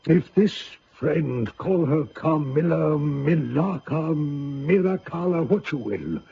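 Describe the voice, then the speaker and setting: A man's voice: fairly high pitch, animated, slow, creaky, exaggerated voice. An actor playing a grieving old general who tells his story solemnly and dramatically in a radio drama. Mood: urgent warning. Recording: dull, hissy old radio recording.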